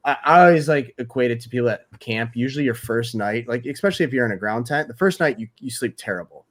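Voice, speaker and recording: A man with a medium-pitched voice talks as a guest on a podcast. He explains things informally, as in everyday conversation. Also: animated, fast talker.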